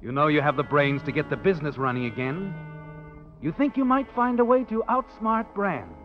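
A man plays an ominous narrator of a 1940s radio thriller, theatrical and insinuating. His fairly high voice rises and falls dramatically over prominent music, on a muffled old recording. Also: expressive, normal speed, natural voice. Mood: sinister, insinuating. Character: ominous, taunting male narrator addressing the protagonist.

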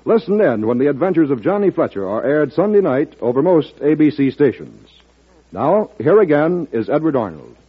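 A man's voice: on the high side, big pitch swings, normal speed, slightly rough. An American man announcing scripted promos as a 1940s network radio announcer. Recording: tinny, narrow vintage radio broadcast.

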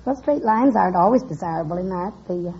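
A woman painter as a quiz contestant, speaking in careful, formal words with hesitant pauses. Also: lowish voice, expressive, creaky. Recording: muffled noisy old radio, audience behind.

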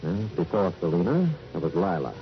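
An actor playing a suave, self-possessed former racketeer, coolly genteel and clipped, in a radio crime drama. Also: male voice, low voice, big pitch swings, brisk, gravelly, heavily acted. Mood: composed. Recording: old radio drama recording, dull, music behind.

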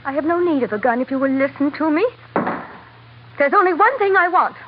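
A determined young woman of a sultan's palace in an old radio adventure. Her voice is high, earnest and formally phrased, heard through a muffled recording with some hiss. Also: expressive, fairly quick. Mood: insistent, pleading. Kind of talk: 1940s-50s radio drama acting. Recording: dull, noisy vintage radio recording.

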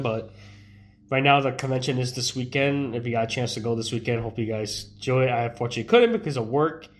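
A man's voice: mid-range voice, slight background noise. Congested young man rambling casually. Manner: rambling, loose, with many fillers and restarts. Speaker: streamer. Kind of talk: casual live-stream chat.

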